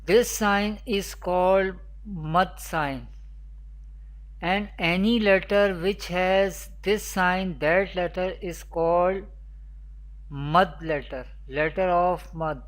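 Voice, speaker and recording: Slow-paced teacher. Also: mid-range voice. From Pakistan. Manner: didactic, repetitive. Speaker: Quran recitation teacher. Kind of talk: scripted online lesson, explaining with repeated questions and answers.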